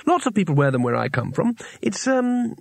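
A man's voice: sits high, very animated, moderate pace, gravelly, no room sound, pitched above the performer's own voice, heavily acted. A man voicing a naive, chatty male tourist in a storybook, polite and earnest with a hesitant way of explaining. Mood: careful, earnest.

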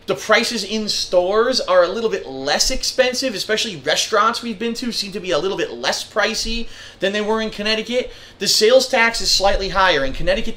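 A man with a congested, nasal voice delivers a blunt, profanity-laced rant. His voice sits high and has a sharp, bright edge. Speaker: vlogger. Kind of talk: ranting monologue clip.

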